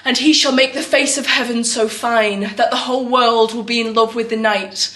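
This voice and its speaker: A woman's voice: fairly high pitch, steady tone, moderate pace, a bit bright, close to an everyday voice. A woman performing a theatrical monologue as a restless, self-doubting young actress, raw and defensive. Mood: earnest, wistful. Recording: echoey room recording.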